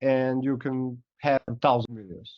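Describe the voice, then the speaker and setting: Hoarse man coaching on a call. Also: mid-range voice, fairly even intonation, moderate pace, no echo. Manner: loose, filler-heavy explaining. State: hoarse, losing his voice. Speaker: coach giving feedback. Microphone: video call. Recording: dull, lo-fi video call audio.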